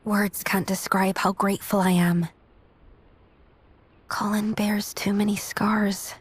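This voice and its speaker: A compassionate young female healer in a fantasy video game. Her voice is soft and breathy, at a middle pitch, with a tender, hesitant manner. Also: flat delivery, slow pace. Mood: tender, sorrowful. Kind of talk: video game cutscene acting.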